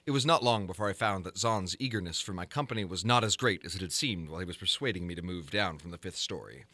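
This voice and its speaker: A man reads gothic fiction as a dramatic narrator. His voice is fairly low yet bright and forceful, and it moves expressively through formal, measured phrases. The sound is dry, with no room around it. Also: no room sound. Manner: formal, measured, theatrical delivery. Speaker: narrator reading a story. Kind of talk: dramatic audiobook reading of gothic horror fiction.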